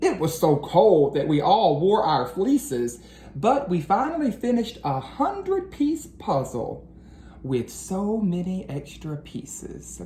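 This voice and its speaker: A woman reading a storybook aloud to children in an expressive, deliberate, sing-song way. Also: fairly low pitch. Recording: roomy echo with faint background noise.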